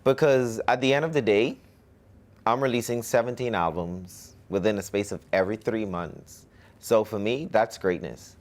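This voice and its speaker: A man's voice: on the low side, moderate pace. A young man from the Bahamas, a musician answering interview questions in a cocky, self-promoting way.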